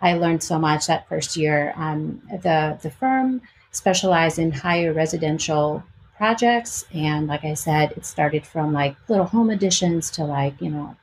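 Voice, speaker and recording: A woman in her forties speaks with a slight Albanian-Greek accent. Her voice sits a little low as she tells her story casually as an interview guest. There is faint noise behind her. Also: measured pace, few pauses, low-quality recording. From Albania and Greece.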